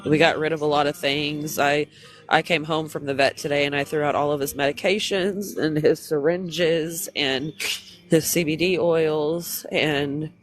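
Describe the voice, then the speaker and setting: A man on a phone live stream, crying and stuffy from congestion, speaking haltingly through grief. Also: a little high, steady tone, fairly bright tone, faint music behind. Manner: grieving, halting, tearful. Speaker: livestream host. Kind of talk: emotional live stream talk.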